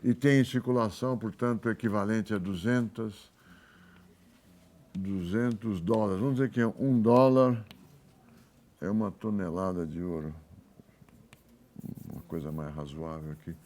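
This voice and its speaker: A man with a Brazilian accent giving a university economics lecture, informal and halting, thinking aloud and correcting himself as he works through examples. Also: on the low side, a little mellow. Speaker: economics professor.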